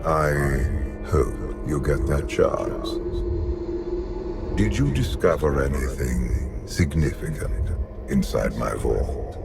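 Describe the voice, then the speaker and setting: An actor playing an old dark emperor in a video game, grave, formal and coldly menacing in delivery. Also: male voice, rumbling low, expressive, slow, vocal fry, full character voice. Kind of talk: dramatic video game acting. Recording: echoing, under loud cinematic music.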